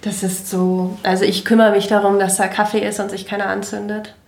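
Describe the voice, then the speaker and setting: A woman's voice: mid-range voice, little pitch movement, a little fast, gravelly, darkish tone. A woman in her thirties from Berlin, talking in a chatty, frank and self-mocking way as a guest in a casual chat. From Berlin, Germany. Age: 30s. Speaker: party volunteer guest. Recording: roomy echoey room.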